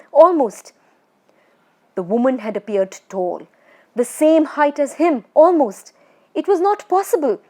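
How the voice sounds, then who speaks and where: A woman storyteller narrating a children's horror story in an expressive, suspenseful, dramatic way. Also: on the high side.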